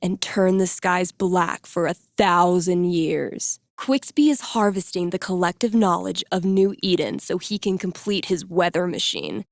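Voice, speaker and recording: An actress playing a young female game master in a video game, narrating dark fantasy in a suspenseful, theatrical way. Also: fairly low pitch, measured pace, creaky, few pauses, no room sound. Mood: portentous. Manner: suspenseful, portentous.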